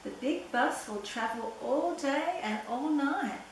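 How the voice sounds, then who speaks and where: An older woman reads a children's story at an unhurried, deliberate pace, with a slightly high voice and playful sound words. She sounds set back from the mic in a very echoey room. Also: animated. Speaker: storyteller reading a picture book aloud. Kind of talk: read-aloud children's story. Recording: very echoey room, distant mic, background noise.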